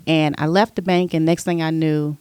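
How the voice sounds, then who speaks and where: A middle-aged woman, a podcast guest, telling stories from her past in a relaxed, conversational way. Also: sits low, normal speed, smooth, no echo, studio quality. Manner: relaxed storyteller, recounting her past at length. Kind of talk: casual interview chat.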